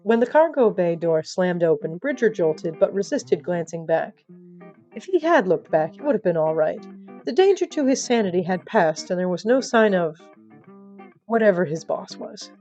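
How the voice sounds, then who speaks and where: A woman's voice: fairly low pitch, lively intonation, normal speed, mellow, lo-fi sound. A woman narrating comic audio fiction in a playful, wry manner, with asides to the listener. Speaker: story narrator. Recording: band-limited sound, music behind.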